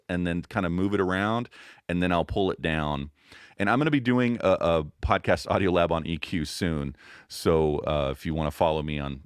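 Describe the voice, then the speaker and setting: A man with a boomy voice, a podcast guest explaining microphones and audio settings in a relaxed, casual interview chat. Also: smooth, dry recording, studio quality. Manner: relaxed, explains gear step by step with fillers.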